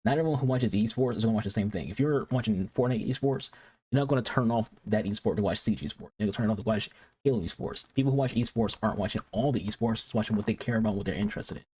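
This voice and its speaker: A man's voice: on the low side, rapid-fire, darkish tone, slightly rough. A man from Detroit hosting a reaction video, talking casually and rambling with frequent restarts and repeated words. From Detroit, United States.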